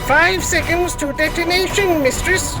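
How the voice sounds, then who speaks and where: Woman doing a robot dog, formal clipped mechanical delivery, measured pace, high voice, faint music behind. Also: steady tone. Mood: matter-of-fact. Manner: formal, emotionless. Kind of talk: audio drama acting.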